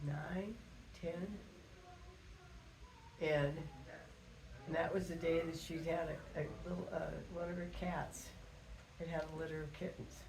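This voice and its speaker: An older woman, a grandmother, casually telling family stories in a rambling, folksy way with halting phrasing. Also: lowish voice, darkish tone. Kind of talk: casual storytelling reminiscence. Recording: roomy echo with faint background noise.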